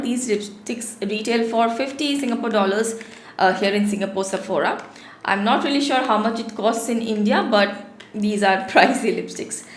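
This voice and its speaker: A woman in her thirties with a sore throat talks through a product review in a friendly, chatty way, often repeating words for emphasis. Her voice sits a little high. The small room around her gives it a noticeable echo. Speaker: beauty vlogger. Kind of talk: casual product review to camera. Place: small home studio room.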